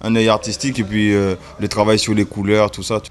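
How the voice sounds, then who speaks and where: A relaxed man in his thirties from the French Caribbean chats in an interview, speaking with a fairly low voice. He hesitates often, leaning on fillers and a constant "you know". He talks indoors into a desk mic. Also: fairly quick. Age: 30s. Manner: relaxed, hesitant, many fillers and repeated "tu vois". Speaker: music video director. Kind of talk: casual interview. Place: indoor room. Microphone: desk mic in front.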